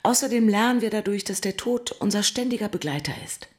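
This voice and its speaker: An actress playing a high-minded heiress in a radio play, speaking in a lofty, moralising manner. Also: female voice, lively intonation, a little fast, lightly acted. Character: high-minded heiress loftily justifying a grim scheme.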